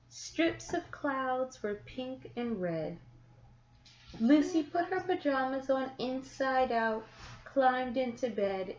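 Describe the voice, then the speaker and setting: A woman reading a children's picture book aloud, with deliberate, expressive phrasing and drawn-out words for young listeners. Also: a little high. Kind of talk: children's story read-aloud. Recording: echoey room, slightly muffled sound.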